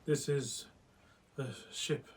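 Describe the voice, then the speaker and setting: A man's voice: takes it slowly, slight background noise, lightly acted. A man acting a haunted, formal scientist telling his tragic tale in a radio drama. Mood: weary, faint. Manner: formal, brooding, theatrical.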